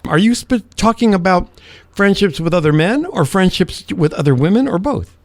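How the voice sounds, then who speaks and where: A male radio host with a slightly high voice and very animated intonation. He talks casually between segments and puts questions to guests, in a dry, close studio sound. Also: normal speed, dry recording. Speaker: radio morning show host. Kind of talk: casual radio talk and interviewing.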